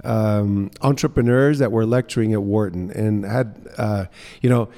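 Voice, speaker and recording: Mellow man in a reverberant room. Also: on the low side, measured pace. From Guatemala, England and mostly New Orleans, USA. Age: middle-aged. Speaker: interview guest, social entrepreneur. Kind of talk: conversational personal storytelling. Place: indoor co-working space. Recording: reverberant room with long echo.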